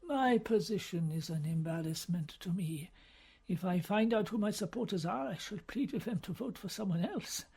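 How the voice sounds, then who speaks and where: A man's voice: sits high, expressive, normal speed, vocal fry, darkish tone, breathy edge, pitched above the performer's own voice, exaggerated voice. A man voicing an older cardinal dean who is modest and courteous, speaking in a formal, hesitant way. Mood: earnest, uneasy. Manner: formal, hesitant, self-effacing.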